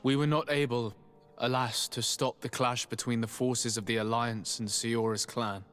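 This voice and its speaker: A formal noble envoy voiced by a man for a fantasy game. His mid-range voice is measured and courteous, earnest when he persuades. Music plays softly behind him. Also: mid-range voice, flat delivery, natural voice. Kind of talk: video game cutscene acting. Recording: background music under the voice.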